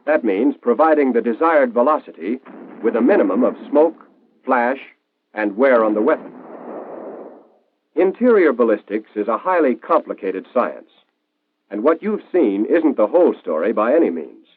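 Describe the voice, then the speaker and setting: Old tinny 1940s training film narrator, american guy, explains stuff clearly, lively up and down delivery. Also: medium pitch, normal speed, a little hiss or hum. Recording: tinny band-limited 1940s film soundtrack.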